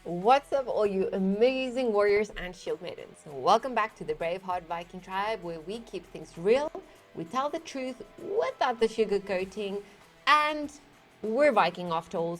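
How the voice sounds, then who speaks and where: A woman's voice: mid-range voice, expressive, normal speed. A woman hosting a music reaction channel, chatting casually to viewers from her home studio through a gaming headset, rambling with lots of fillers and asides and laughing at herself.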